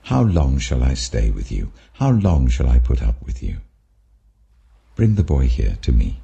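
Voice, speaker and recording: A British man voicing Jesus as an authoritative, earnest teacher, deliberate and emphatic. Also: extremely low pitch, wildly swooping pitch, vocal fry, warm tone, breathy edge, muffled recording, pitched below the performer's own voice, heavily acted. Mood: exasperated.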